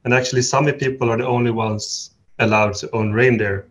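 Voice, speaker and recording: Relaxed Swedish man telling travel stories. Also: medium pitch, fairly quick. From Sweden. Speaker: podcast guest. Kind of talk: casual chat.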